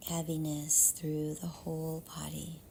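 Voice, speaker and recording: Slow, hushed woman guiding meditation. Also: low-pitched, monotone, slight background noise, rough recording. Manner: soft, breathy, half-whispered delivery; soothing, measured phrasing with long pauses. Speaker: meditation guide. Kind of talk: guided meditation.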